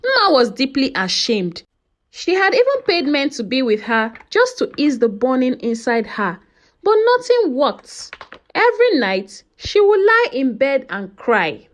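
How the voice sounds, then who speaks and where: A woman narrating a folktale as a scripted story, with an expressive, dramatic delivery. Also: high voice, a little slow.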